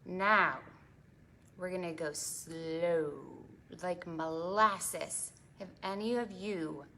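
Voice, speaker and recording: A woman with a bright, forward voice leads a preschool storytime. She speaks as a children's librarian would, animated and exaggerated, putting questions to her young listeners. There is faint noise in the recording. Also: fairly low pitch.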